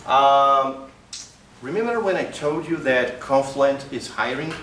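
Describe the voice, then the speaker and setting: A man's voice: medium pitch, slow, fairly bright tone. A man in his thirties from Brazil with a Brazilian Portuguese accent, giving a casual conference talk as a developer advocate, often adding tag questions. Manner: conversational, frequent tag questions. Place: small meeting room, indoors. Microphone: far camera. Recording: roomy, distant, faint hiss.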